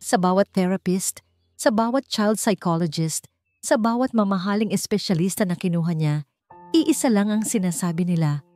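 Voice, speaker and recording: A woman narrating a scripted audiobook love story in a measured, expressive storytelling manner. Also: mid-range voice, no room sound, soft background music.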